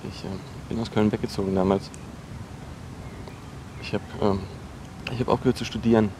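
An actor playing a defensive, awkward young dropout in a naturalistic radio drama, halting and repeating himself as he talks. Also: on the low side, steady tone, natural voice. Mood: awkwardly confiding. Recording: noisy location recording with ambient hum.

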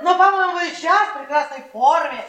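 An actress playing a brash, flirtatious middle-aged wife who mocks and teases in a theatrical radio play. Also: female voice, sits high, fast talker. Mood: flirtatious, needling. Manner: taunting, teasing, loud and laughing. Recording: echoey theatre recording with audience noise.

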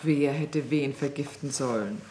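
An actress playing the naive, eager youngest of a group of genteel ladies in a radio play, with a husky, breathy voice. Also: female voice, a bass voice, measured pace, background noise. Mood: concerned, curious. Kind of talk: German radio play acting.

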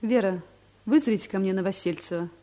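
A woman's voice: medium pitch, fairly quick, dark tone, a little hiss or hum, lightly acted. An actress playing a domineering, middle-aged woman director who gives curt orders and lectures her staff, in a 1970s radio comedy. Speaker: office director.

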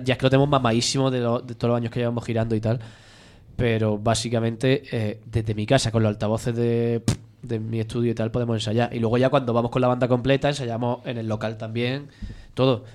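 A man's voice: mid-range voice, a little mellow. A man from Spain, a band member guest, chatting casually and laughing often. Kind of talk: casual interview chat.

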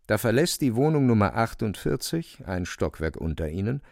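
An actor playing the wry narrator of a radio drama, telling the tale theatrically in short phrases with pointed pauses. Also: male voice, fairly low pitch, gravelly, a little mellow, dry recording, clean studio sound. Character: wry, vivid male storyteller relishing grotesque events.

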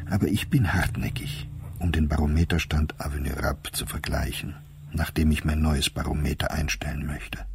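A man reading a first-person diary as a scripted radio piece, in a measured, calm and detached way, recorded close in a studio. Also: a bass voice, vocal fry, noisy background.

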